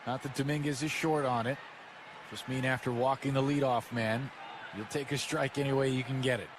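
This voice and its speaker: A man's voice: mid-range voice, fairly even intonation, a little fast. American male color commentator over ballpark noise. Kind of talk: conversational live sports analysis. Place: stadium broadcast booth. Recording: noisy live ballpark broadcast.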